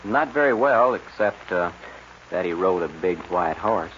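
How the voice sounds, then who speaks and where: An actor playing a bold, sly, jealous cowboy stage guard in a 1940s western radio drama. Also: male voice, deep voice, expressive, a little slow. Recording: muffled noisy 1940s radio recording.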